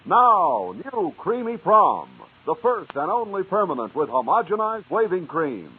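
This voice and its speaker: A man's voice: high voice, big pitch swings, measured pace, husky. A man announcing a sponsor and show in 1950s radio style. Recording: tinny, hissy old radio broadcast.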